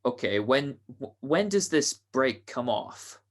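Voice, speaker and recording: A man acting a nervous, slow-witted gangster's henchman in a radio play, hesitant and stammering, with slangy speech. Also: medium pitch, big pitch swings. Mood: doubtful, uneasy.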